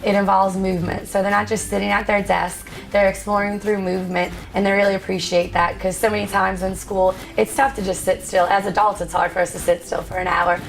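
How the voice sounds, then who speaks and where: A woman's voice: medium pitch, little pitch movement, brisk, vocal fry, hardly any pauses, poor audio. A woman who teaches fourth grade, giving earnest, explanatory interview answers about her teaching.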